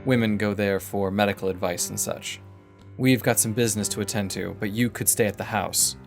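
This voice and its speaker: A man playing a calm, decisive companion who speaks in a measured, matter-of-fact way. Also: fairly low pitch, vocal fry, soft background music. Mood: calm, reassuring. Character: calm, decisive male companion offering practical help.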